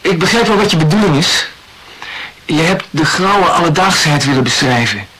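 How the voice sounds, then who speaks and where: A reserved young acquaintance in a radio drama. His voice is fairly high and sharp, with a hesitant, drily polite way of answering. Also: expressive, normal speed, a little hiss or hum, poor audio. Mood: tactful, dry. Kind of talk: literary radio play dialogue.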